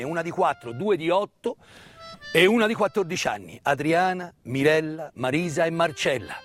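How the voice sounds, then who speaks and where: A man giving a dramatic theatrical narration of a wartime story, measured and emphatic, with pauses and hushed phrases. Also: high-pitched. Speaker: stage actor and storyteller. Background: live musical accompaniment.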